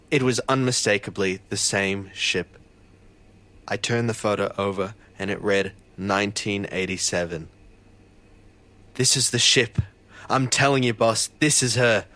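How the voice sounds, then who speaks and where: A man's voice: lowish voice, a bit bright. A man playing an earnest, bewildered fisheries officer who narrates his own story in an audio drama. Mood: tense, recounting, then urgent, bewildered.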